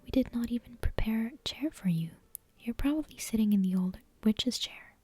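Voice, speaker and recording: A woman's voice: on the high side, animated, moderate pace, warm tone. Earnest whispering little witch, read by a woman. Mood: mildly surprised. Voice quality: breathy whisper. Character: earnest young female little witch, questioning her friend.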